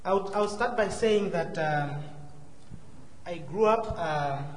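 A man with a southern African accent giving a personal testimony as a guest speaker, courteous, measured and hesitant. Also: high-pitched. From Zambia and Malawi. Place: large church hall, live before a congregation. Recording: echoey hall, faint noise.

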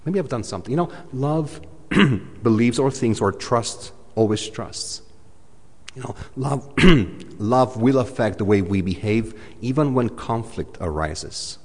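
A man preaching a sermon in a church, talking in a conversational way, often saying "you know" and swinging between loud and soft. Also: medium pitch, lively intonation, measured pace. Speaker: pastor. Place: church hall. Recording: echoey church hall.

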